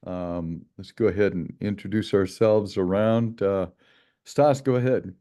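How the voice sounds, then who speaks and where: A man with a very low, mellow voice hosts a casual online meeting. He talks in an easygoing, chatty way with plenty of fillers. It sounds like a video call. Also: unhurried. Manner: relaxed, chatty, many fillers. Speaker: meeting host, project lead.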